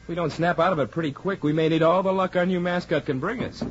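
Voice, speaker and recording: A man's voice: on the high side, fairly even intonation, quick pace, slight background noise, natural voice. An actor playing an eager, earnest young male college athlete, boyish and casual, in a 1940s radio drama. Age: young adult. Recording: dull 1940s radio recording.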